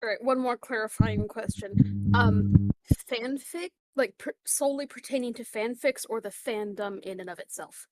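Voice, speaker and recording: A woman's voice: fairly high pitch, very animated, normal speed, darkish tone, no echo, low-quality recording. Female podcast co-host, casual chat, blunt and chatty, dry close sound. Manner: chatty, blunt, fan-culture talk.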